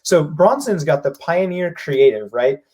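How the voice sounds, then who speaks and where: A man, a company president, speaking quickly and energetically in a business live-stream discussion, acting out what people say. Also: on the high side, big pitch swings, mellow, husky.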